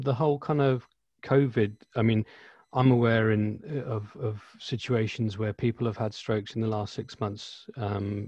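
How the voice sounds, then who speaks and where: A British man hosting a panel, asking halting questions with many fillers over a video call. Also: fairly low pitch, darkish tone, husky, no echo. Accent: British English. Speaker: panel host. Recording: dull, dry video-call audio.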